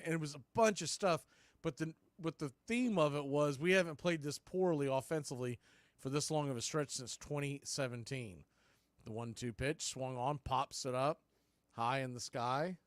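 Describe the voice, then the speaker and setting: A man calls baseball live for a radio-style stream. His voice sits a little high, with folksy, punchy delivery. The sound is close and dry, with no room around it. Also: fairly bright tone, smooth, no echo. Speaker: baseball play-by-play host. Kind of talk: live radio sports commentary.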